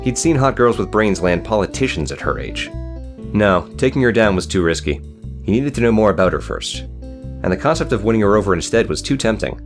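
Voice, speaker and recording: A man's voice: on the low side, animated, a little fast, faint music behind. A man reading scripted fiction as an audiobook narrator.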